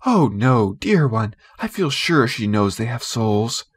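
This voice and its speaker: Gentle, reassuring storybook mother and wife, played by a male reader. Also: mid-range voice, big pitch swings, slow, husky, no echo, clean studio sound, exaggerated voice. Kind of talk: storybook character voice.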